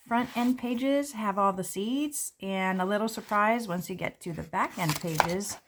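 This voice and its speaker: A woman, a children's book author, reading her picture book aloud and walking through its pages in a gentle, explanatory way. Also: lowish voice, slight background noise. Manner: gentle, explanatory, with light fillers. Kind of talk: read-aloud and book walkthrough. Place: indoors at a table.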